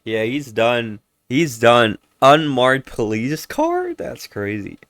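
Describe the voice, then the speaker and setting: A man's voice: medium pitch, big pitch swings, slow, a bit bright. A nineteen-year-old man reacting to a video in casual commentary, exasperated and meandering, tripping over his words and correcting himself.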